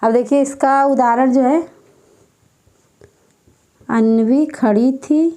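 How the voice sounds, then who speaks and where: A woman teacher giving an exam-prep grammar lesson, dictating slowly and repeating each term several times. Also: high voice, steady tone, dark tone.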